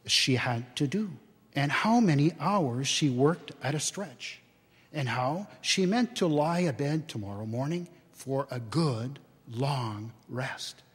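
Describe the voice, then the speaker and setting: Slow, theatrical male storyteller, reverberant church. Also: fairly high pitch, breathy edge. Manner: theatrical, expressive. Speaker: dramatic reader. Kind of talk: scripted storybook narration before an audience. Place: inside a church, live reading. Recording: church hall with long reverb.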